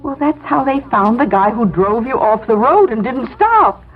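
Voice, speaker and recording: An actress playing a practical, affectionate, reassuring wife in a 1970s radio drama. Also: female voice, a little high, lively intonation, normal speed, lightly acted. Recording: tinny 1970s radio broadcast, faint noise.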